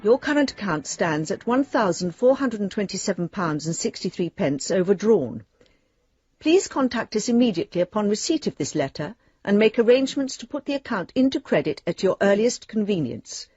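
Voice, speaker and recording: A woman's voice: mid-range voice, very animated, moderate pace, a little mellow, husky, no room sound, highs cut off. An actress playing a formal but kindly female bank lending advisor, voicing her letters in a radio drama. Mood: formal, official. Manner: official, precise letter-reading.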